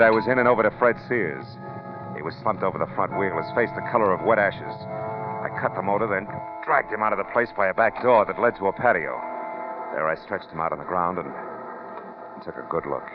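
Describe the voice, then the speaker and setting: A man's voice: low voice, fast talker, natural voice. An actor playing a tough, sardonic male private detective in a 1940s radio drama, curt and wisecracking. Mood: tense narration. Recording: muffled vintage radio broadcast, music.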